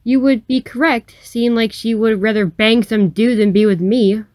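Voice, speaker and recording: A woman's voice: a little high, normal speed, dry recording, close to an everyday voice. A woman playing a hot-tempered, hurt young woman with a snappy, indignant manner. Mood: weary.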